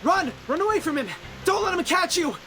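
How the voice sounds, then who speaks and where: A woman voicing a quirky, analytical young investigator in a video game, theatrical and excitable. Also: very high voice, brisk, over music. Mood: urgent.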